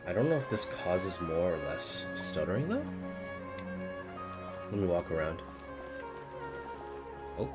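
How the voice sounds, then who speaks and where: Deep-voiced man casually streaming a game. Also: sing-song, unhurried, pauses often, loud music behind the voice. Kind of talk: casual live-stream commentary while gaming.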